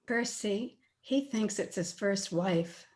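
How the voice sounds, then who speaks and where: An actress playing a puzzled, protective grown daughter in a radio play, guarded and concerned. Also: female voice, mid-range voice, slow, a little mellow, natural voice. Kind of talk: old-time radio drama acting.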